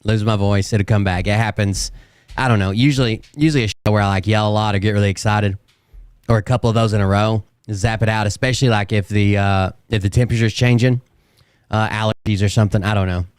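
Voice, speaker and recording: A man hosting his own live show, chatting casually and rambling, his voice hoarse and fading from a sore throat. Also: fairly low pitch, fairly even intonation, quick pace, a little mellow, no room sound, clean studio sound. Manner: rambling, self-mocking. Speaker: host. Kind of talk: casual live-stream talk.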